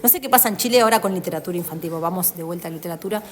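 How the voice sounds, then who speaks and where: A woman with a Uruguayan accent interviewing a guest in a relaxed chat, affirming often and tagging her phrases with questions. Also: lowish voice, very fast, slight background noise. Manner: warmly affirming, ends phrases with tag questions. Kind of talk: casual conversational interview.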